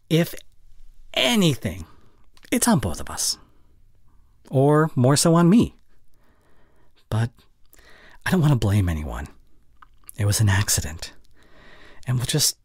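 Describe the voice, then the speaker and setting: A young man playing a caring, anxious but reassuring boyfriend in an intimate ASMR audio roleplay, speaking in a tender, halting way with a breathy voice that often drops into whispers. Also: fairly low pitch, a little mellow, no echo, studio quality, exaggerated voice. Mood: earnest, protective.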